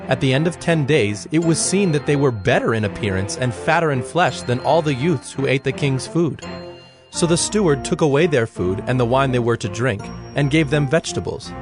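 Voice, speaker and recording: A man narrating a scripted, dramatized scripture reading in a measured, solemn manner. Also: medium pitch, animated. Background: instrumental music.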